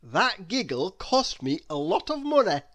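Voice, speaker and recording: Female reader as cheerful kindly man, storybook character, emphatic, hopeful, raised pitch, animated. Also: a little slow, breathy edge. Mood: merry. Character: kindly, cheerful man, eager to help his friend.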